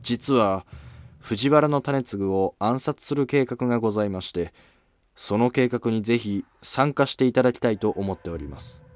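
A man's voice: medium pitch, moderate pace, dry recording, natural voice. A man playing an earnest noble conspirator in a historical drama, speaking in solemn, formal, persuasive phrases. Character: earnest male noble plotting against a rival clan. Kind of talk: scripted historical drama dialogue.

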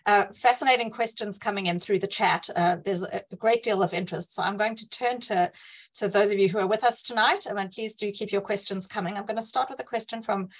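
A woman interviewer and academic, moderating a conversation in a measured, articulate way. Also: mid-range voice.

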